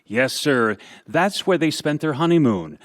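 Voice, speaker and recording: A slightly high, folksy storyteller. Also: male voice, lively intonation, measured pace, no room sound, studio quality. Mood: fond, wistful. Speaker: narrator. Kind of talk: scripted storytelling narration for a radio play.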